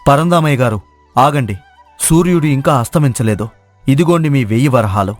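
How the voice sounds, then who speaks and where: Man acting honest village cook, medium pitch, polite, assured, moral tale, dry sound, soft music. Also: animated, fairly quick, natural voice. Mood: calm, assured. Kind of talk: acted moral story dialogue.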